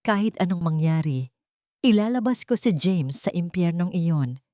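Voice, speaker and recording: A woman's voice: on the low side, animated, moderate pace, mellow, dry recording, lo-fi sound, close to an everyday voice. A woman voicing an anxious yet determined young housemaid in an audiobook drama. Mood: resolute.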